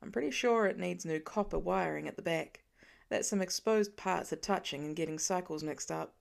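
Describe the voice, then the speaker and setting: A woman's voice: fairly low pitch, normal speed, creaky, voice pushed down. A woman voicing an easygoing, teasing husband and father in a story reading. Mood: practical.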